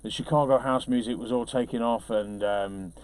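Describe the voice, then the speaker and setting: Chatty bloke dj telling old stories, bit self-deprecating, really dry recording. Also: male voice, medium pitch, fairly even intonation, normal speed, a little mellow, no room sound. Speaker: DJ being interviewed. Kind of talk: casual anecdotal storytelling.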